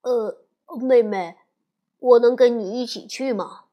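A woman voicing a kind older brother bear cub who speaks gently and coaxingly to his sister. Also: a little high, takes it slowly.

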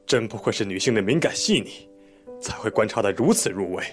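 An actor playing a composed male police detective in an audio drama, explaining things in a measured, methodical, polite way. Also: on the low side, animated, faint music behind, natural voice. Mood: polite, probing. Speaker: police investigator questioning a witness.

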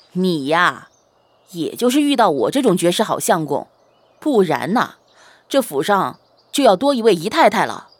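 A woman's voice: lowish voice, big pitch swings, measured pace, pauses often, husky, no room sound, heavily acted. A woman playing a doting, roguish young husband in a Chinese period romance audio drama, with a soothing manner. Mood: playful, reassuring. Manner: soothing, hesitant, playfully boastful.

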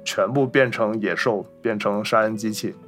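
A man's voice: on the low side, normal speed, fairly bright tone, soft background music. A man hosting a casual film discussion, telling anecdotes in an explanatory, conversational way. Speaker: podcast host.

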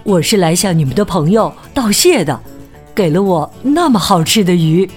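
A timid, well-mannered blue tomcat from a children's storybook, voiced by a woman. He speaks politely and a little low, with a lively rise and fall. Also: normal speed, faint music behind, natural voice. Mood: shy. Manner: bashful, courteous. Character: shy polite male blue cat.

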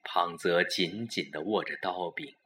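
Male narrator for a thriller audio drama. Fairly low, bright forceful tone, dramatic delivery. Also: a little hiss or hum. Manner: dramatic, deliberate storytelling.

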